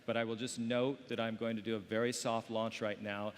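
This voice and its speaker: A man's voice: on the low side, level tone, brisk. Male host introducing speakers in a reverberant hall. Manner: informal, with fillers. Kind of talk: introductory remarks.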